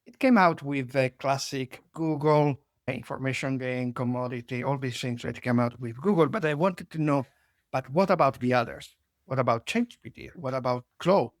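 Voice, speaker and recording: A man's voice: mid-range voice, dry recording. A middle-aged man hosts an interview. He muses aloud, searches for words and restarts his phrases. His voice sounds close and dry. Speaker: podcast host. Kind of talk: conversational interview.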